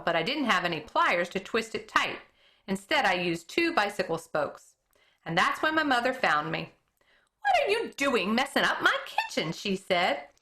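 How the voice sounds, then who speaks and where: A teacher reading a children's book chapter aloud, expressive and correcting her own slips as she goes. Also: medium pitch.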